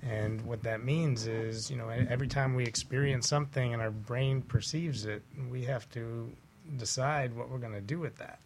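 Gravelly man explaining things on a talk show. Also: mid-range voice, steady tone, normal speed. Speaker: guest on a wellness talk show. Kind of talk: explanatory conversation.

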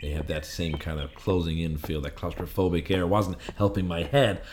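An actor playing a confused, weary amnesiac in a film noir audio play, halting and repetitive, with hard-boiled phrasing. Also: male voice, sits low, steady tone, noisy background, close to an everyday voice. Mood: weary, brooding.

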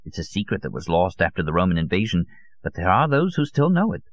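A man's voice: deep voice, big pitch swings, quick pace, dry recording, full character voice. A man voicing a fervent elderly conspiracy theorist in an audiobook, emphatic and rhetorical, holding forth in rambling monologue. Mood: lecturing. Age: older. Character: elderly Russian male conspiracy theorist, fervent, nostalgic taxidermist. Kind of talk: dramatised audiobook character. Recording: dull, muffled lo-fi audio.

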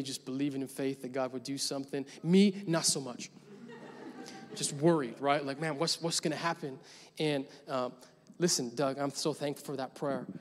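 Casual young pastor in a reverberant hall. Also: male voice, medium pitch. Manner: casual storytelling with rising emphatic preaching. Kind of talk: sermon. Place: large church hall. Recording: long church hall reverb.

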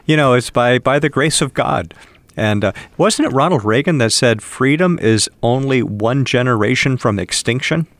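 A man's voice: mid-range voice, animated, normal speed, no echo. A man with an American accent chatting as co-host in a talk radio discussion.

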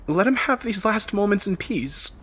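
A man voicing a distraught young daughter, pleading and halting in a strained, breathy voice, in an audio drama. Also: mid-range voice, a bit bright, pitched above the performer's own voice. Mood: tearful pleading. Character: distraught young daughter begging for her dying father. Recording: music bed under the voice.

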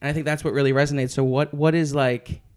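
A man's voice: medium pitch, level tone, fast, smooth. A young man from the Philadelphia area hosting a casual interview, enthusiastic and loose, swearing casually. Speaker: interviewer host.

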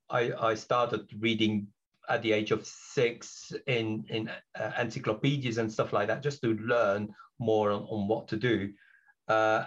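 Belgian man, hesitant and reflective, lo-fi sound. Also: mid-range voice. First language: not English. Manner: reflective, hesitant, many fillers and restarts. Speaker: guest. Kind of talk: podcast interview.

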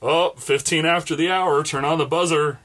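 A man hosting a podcast, chatting casually and telling stories in an animated, joking way. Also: on the high side, moderate pace, a little hiss or hum. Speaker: podcast host.

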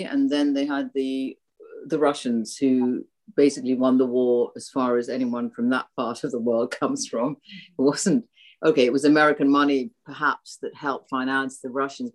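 A woman's voice: very deep voice, low-quality recording. An older woman born in Hungary, with an accent of a Hungarian long settled in England, recalling her past in a rambling interview with many restarts. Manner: rambling, frequent restarts and fillers. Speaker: guest. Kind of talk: interview conversation.

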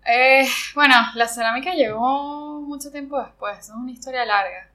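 Bright-voiced Venezuelan woman. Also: high voice, moderate pace. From Venezuela, Margarita Island. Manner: reflective, searching for words. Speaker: interview guest. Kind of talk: conversational interview.

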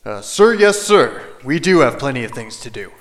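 An actor playing a nervous, deferential rebel underling in an audio drama, hesitant when speaking to a superior. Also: male voice, mid-range voice, big pitch swings, normal speed, natural voice.

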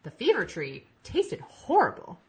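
A woman in her thirties, a podcast guest talking in a rambling, animated way and laughing easily. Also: medium pitch, unhurried, breathy edge. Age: 30s. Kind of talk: casual chat.

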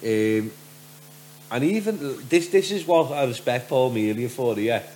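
A man from Liverpool with a softened Scouse accent and a deep voice, telling stories casually as a podcast guest. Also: lively intonation, unhurried, a little hiss or hum, poor audio. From Liverpool, England. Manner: rambling storyteller, frequent "do you know what I mean".

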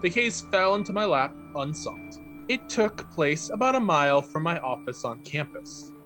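A man reading a written account aloud as a narrator, measured and formal, with carefully enunciated phrases. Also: a little high, big pitch swings, sharp tone, soft background music.

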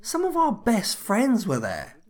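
A man's voice: high voice, wildly swooping pitch, unhurried, heavily acted. A man acting an anxious, eager-to-please, social-climbing husband in a comedy audio drama, hesitant and stammering. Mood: defensive.

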